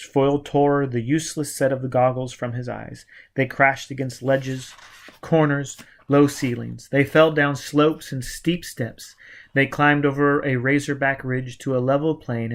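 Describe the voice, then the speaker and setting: A man's voice: medium pitch, fairly even intonation, normal speed. Male audiobook reader, middle register, for dramatic narration of adventure fiction. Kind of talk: scripted dramatic narration of a novel.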